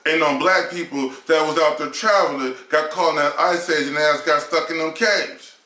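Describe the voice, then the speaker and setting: A man argues his points in a heated casual discussion, firing off rhetorical questions and swearing freely. His voice sits a little high with a bright, forceful edge. The room around him is echoey. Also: fairly even intonation, normal speed. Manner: emphatic, argumentative, rhetorical questions, profane. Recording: roomy echo.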